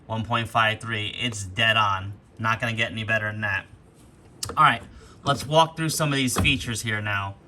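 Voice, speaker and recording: A man's voice: lowish voice, bright, forceful tone. A man hosting a casual, hands-on product walkthrough, explaining things informally as he goes. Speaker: host demonstrating radio gear. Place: attic, with rain outside. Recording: background noise, rainy attic ambience.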